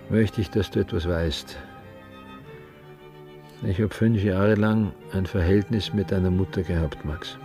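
A man's voice: fairly low pitch, warm tone. An actor playing a bitter, world-weary older man of the theatre in a radio drama, colloquial and sardonic, with an Austrian accent.

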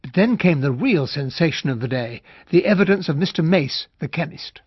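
An actor with a British accent playing an observant gentleman narrator, telling a mystery in the first person for a radio drama. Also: on the high side, expressive, moderate pace, husky, no echo. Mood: reflective. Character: observant gentleman narrator recalling a murder inquest. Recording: dry, dull, band-limited radio recording.

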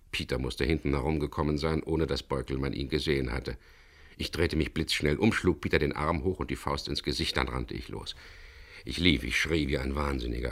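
A tough, decisive skipper in a 1970s radio crime drama. His voice sits very low and crackles with gravel. He is terse and commanding, yet measured when he narrates. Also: fairly bright tone, heavily acted. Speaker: lead actor and first-person narrator of a radio play.

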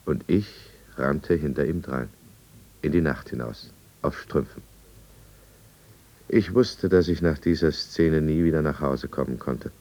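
A brooding drunkard narrating an old German radio play in the first person. His voice is extremely deep. The delivery is deliberate and confessional. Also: darkish tone, full character voice. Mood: tense, resigned. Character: brooding male drunkard recounting his own downfall. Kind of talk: scripted radio drama narration, 1950s.

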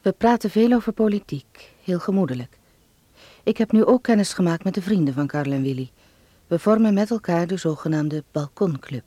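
An actor playing a calm narrator in a radio play, recounting life among friends in a measured, reflective way. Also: medium pitch, a little hiss or hum, natural voice.

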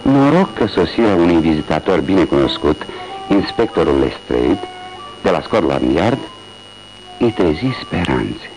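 Deep-voiced male radio drama narrator. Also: very animated, a little mellow, poor audio. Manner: measured, storytelling delivery. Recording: old radio recording with music.

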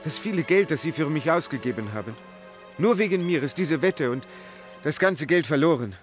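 A man's voice: a little high, expressive, normal speed, faint music behind, close to an everyday voice. A man playing a distraught, self-blaming loyal manservant in an audio drama. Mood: despairing, guilty.

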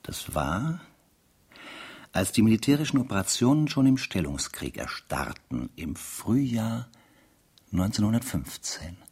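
Sceptical brooding narrator for a radio drama, creaky n raspy, really varied intonation. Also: male voice, lowish voice, big pitch swings, a little slow, darkish tone, full character voice. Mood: pensive, sardonic. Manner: pensive, sardonic, rhetorical questions. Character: ruminating, sceptical male narrator weighing evidence.

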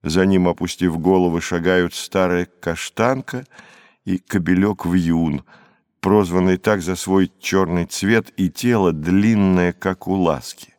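Male audiobook narrator, low pitch, measured expressive storytelling, literary narration, dry studio recording. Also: dry recording.

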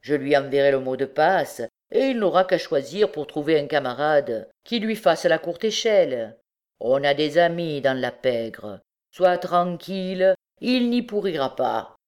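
A woman voicing a callous male ex-convict, a rough street villain who talks in a scornful, slangy, offhand way. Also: sits low, lively intonation, measured pace, a little mellow. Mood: coolly confident.

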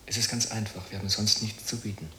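A candid, lightly teasing man in an intimate radio play. His deep voice has a bright edge and speaks in short phrases, in an echoey room. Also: fairly even intonation, quick pace, a little hiss or hum. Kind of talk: intimate radio drama dialogue.

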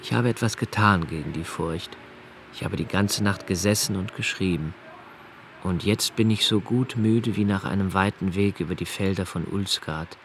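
An actor playing a solitary, brooding young poet of twenty-eight who narrates his own thoughts in a literary radio drama, in a slow, measured, contemplative way. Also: deep voice, slight background noise. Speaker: first-person narrator of a radio play.

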